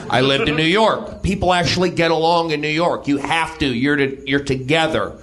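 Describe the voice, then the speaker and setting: A nasal American man with a fairly high, forceful voice talks in a casual comic ramble. He often interrupts himself and circles back as he confesses things. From Los Angeles, United States. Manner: rambling, halting, self-interrupting. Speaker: comedian guest and podcaster. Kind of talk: casual comedic confession to an audience.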